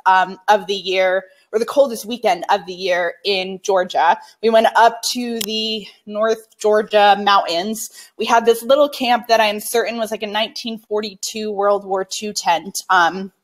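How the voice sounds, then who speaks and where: Young woman running an online writing class, chatty, lots of ums, a bit stuffy-nosed, laptop mic. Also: mid-range voice. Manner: chatty, warm-hearted, many fillers. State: slightly congested from a sinus infection. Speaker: writing course teacher. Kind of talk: live-streamed online class.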